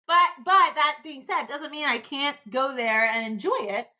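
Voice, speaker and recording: Woman, podcast guest, loud and lively, laughs a lot, bright tone. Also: sits high, a little slow. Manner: loud, lively, laughs often, talks with her hands. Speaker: podcast guest, dental finance advisor. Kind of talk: casual interview chat.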